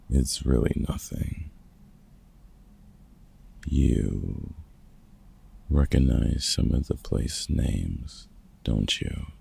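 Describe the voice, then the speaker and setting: A man playing a gruff, protective, middle-aged vampire hunter mentor in a comfort ASMR audio roleplay, gravelly and husky, with a casual, teasing, sweary and halting way of talking. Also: rumbling low, warm tone, exaggerated voice. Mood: evasive, hesitant.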